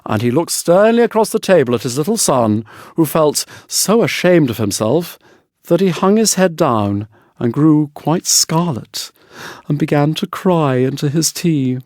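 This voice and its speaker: Guy reading a storybook audiobook, animated intonation, dry studio-like sound. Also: a little high, a little slow, no echo. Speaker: audiobook narrator. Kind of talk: scripted storybook narration.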